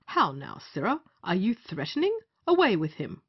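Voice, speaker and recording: A woman's voice: mid-range voice, huge pitch swings, moderate pace, slightly rough, exaggerated voice. A woman playing a bossy, scheming male justice of the peace in an old stage comedy, theatrical and commanding. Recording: muffled lo-fi audio.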